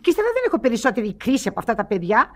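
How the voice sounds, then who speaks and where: An actress playing a bossy, fretful wealthy aunt in a radio comedy, with a commanding, fussy manner. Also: female voice, fairly high pitch, big pitch swings, a bit bright. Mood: exasperated. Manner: commanding, fussy, self-contradicting. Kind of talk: classic radio comedy acting.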